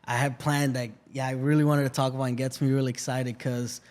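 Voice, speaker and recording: A male podcast host talks in a casual, eager way at a middle pitch. He keeps agreeing with "yeah" and "hundred percent" while he leads the chat. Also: little pitch movement, quick pace, darkish tone. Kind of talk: casual interview talk.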